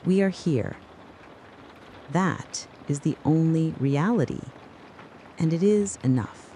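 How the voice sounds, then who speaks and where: A narrator delivering a scripted, dramatic late-night soliloquy in a deliberate, brooding way, speaking straight to the listener. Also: mid-range voice, lively intonation, warm tone, a little hiss or hum. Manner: slow, deliberate, brooding second-person address.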